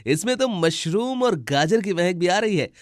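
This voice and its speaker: A man's voice: sits high, expressive, moderate pace, dry recording, studio quality. A man voicing a jolly, hungry monkey in a children's cartoon, exclaiming with delight. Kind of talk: Hindi animated children's story dialogue.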